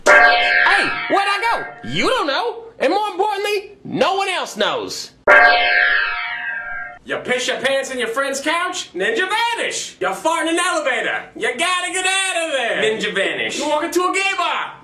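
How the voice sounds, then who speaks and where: A man playing a manic infomercial pitchman, shouty and emphatic, in a parody TV ad. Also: big pitch swings, a little slow, far from the mic, soft background music, exaggerated voice. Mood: manic, brash. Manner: emphatic, shouty salesman delivery. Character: manic infomercial pitchman hawking an escape gimmick. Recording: roomy, echoey, off-mic sound.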